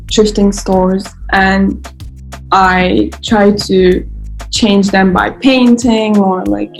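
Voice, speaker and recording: Brief: young Turkish-accented woman, slow, hesitant, gravelly edges, video call with faint music. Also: mid-range voice, low-quality recording. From Turkey. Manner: slow, gentle, hesitant, lots of fillers. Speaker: participant. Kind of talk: casual video call chat.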